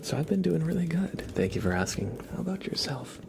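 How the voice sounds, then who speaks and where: A man hosting an ASMR livestream, chatting casually and softly in a gentle, rambling way, often slipping into a whisper. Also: a little high, big pitch swings, brisk, vocal fry, very noisy. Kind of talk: soft-spoken ASMR with casual livestream chat.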